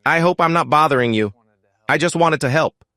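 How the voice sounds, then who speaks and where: A man voicing a calculating male predator who feigns friendliness with a soft, easy manner. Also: on the high side, a little fast, dry recording, studio quality, pitched above the performer's own voice. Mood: smooth, friendly.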